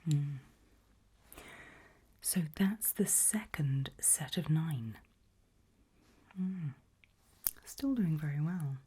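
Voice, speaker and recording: A woman in an ASMR roleplay as a politely sinister HR interviewer, whispering and murmuring softly in a deliberate, measured way with pauses. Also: animated. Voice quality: breathy whisper with soft low murmurs. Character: calm, politely sinister HR interviewer at an evil organisation.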